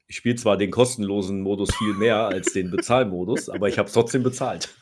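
A man co-hosting a gaming podcast, teasing the others with deadpan advice. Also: lowish voice, fairly quick, background noise. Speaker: podcast co-host. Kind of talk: casual chat.

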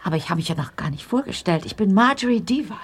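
A woman's voice: medium pitch, expressive, fast talker, husky. An actress playing an older, gossipy small-town woman in an audio drama, talkative and emphatic. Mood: dismissive.

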